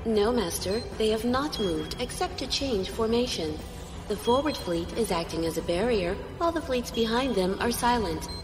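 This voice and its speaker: A woman's voice: fairly high pitch, animated, normal speed, lightly acted. A woman playing a dutiful, unemotional ship's computer aide in a science fiction audio drama, formal and clipped. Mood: impassive. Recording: long reverb, faint music.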